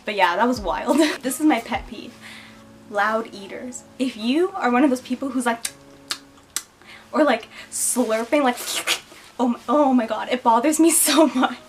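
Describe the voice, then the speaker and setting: A woman's voice: on the high side, a little slow, a little hiss or hum. Chatty, giggly young woman vlogger. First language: English. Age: young adult. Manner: chatty and giggly, with playful voices for quotes. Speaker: beauty and lifestyle vlogger. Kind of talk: casual storytelling to camera.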